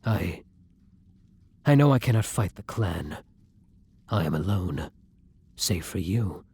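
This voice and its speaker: A man playing a kind, tormented vampire in an intimate roleplay audio drama, murmuring softly and breathily in formal, old-fashioned phrasing. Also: low voice, lively intonation, slow, gravelly, breathy edge, exaggerated voice. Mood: grave, determined. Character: kind, tormented male vampire, tender and protective lover.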